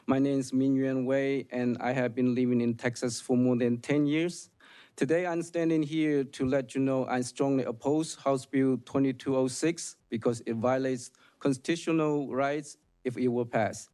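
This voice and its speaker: A man whose first language is Chinese gives earnest, formal, slightly halting prepared testimony at a public hearing. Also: medium pitch, fairly even intonation, mellow, clean tone. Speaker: public witness.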